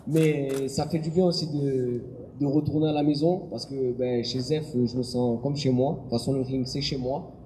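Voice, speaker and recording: A man speaking as a boxer at a press conference. He is brash, cocky and joking. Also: mid-range voice. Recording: long hall reverb with clear background noise.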